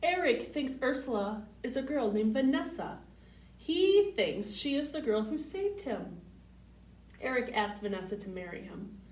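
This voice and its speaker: Slow, lilting woman reading to children, roomy sound. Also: fairly high pitch. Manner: simple short sentences, expressive, addresses children with questions. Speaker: library storytime host reading picture books. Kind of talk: children's read-aloud with asides to young listeners. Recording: roomy, distant mic, faint background noise.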